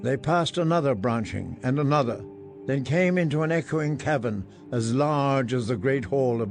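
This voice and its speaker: A man reading a fantasy story in audiobook style, with measured storytelling delivery. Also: medium pitch. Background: soft music.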